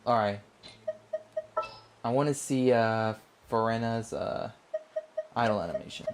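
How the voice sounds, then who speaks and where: A man's voice: mid-range voice, unhurried, slight background noise. A young man with an enthusiastic, easily delighted manner, giving casual live commentary as he plays a game.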